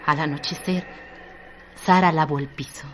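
A woman's voice: low voice, steady tone, normal speed. A woman giving a dramatic, literary narration as the narrator of a radio drama. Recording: noisy radio drama track.

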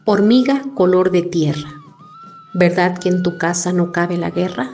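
Slow-reading Zoque woman poet. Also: lowish voice, wildly swooping pitch, darkish tone, soft background music. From Chiapas, Mexico. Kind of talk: poetry recitation.

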